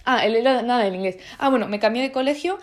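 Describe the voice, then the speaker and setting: A woman's voice: a little high, fast talker. A nineteen-year-old woman from Spain with a Spanish accent, talking in a casual vlog with many restarts and fillers and lots of slang. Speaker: vlogger.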